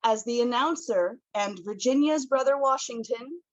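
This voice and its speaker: A woman's voice: on the high side, normal speed. A woman announcer reading scripted closing credits for a radio play. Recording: roomy, slightly dull recording.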